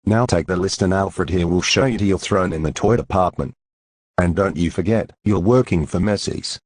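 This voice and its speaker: An actor playing a fussy, eager department store manager with an officious, effusive manner in an old-time radio drama. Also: male voice, sits low, moderate pace, no echo.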